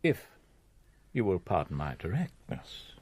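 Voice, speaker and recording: An actor playing a sanctimonious, slyly calculating priest in a radio drama, measured and pompous, with confiding asides. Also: male voice, low-pitched, sing-song, a little mellow, husky, no room sound, full character voice. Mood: formal, pious.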